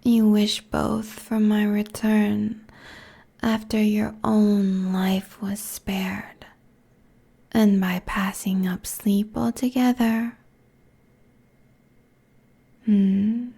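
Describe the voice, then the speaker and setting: A woman performs an ancient succubus queen for an ASMR audio roleplay. She whispers in a dark, breathy voice, drawing each phrase out very slowly. Her manner is seductive, haughty and cruel, with menace held low and even, close to a binaural mic. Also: full character voice. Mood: haughty, menacing. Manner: slow, deliberate, taunting, haughty. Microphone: close binaural microphone.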